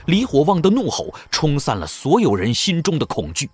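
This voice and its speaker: A man's voice: on the high side, wildly swooping pitch, moderate pace, breathy edge. A man narrating an audio drama in a suspenseful, dramatic scripted storytelling style.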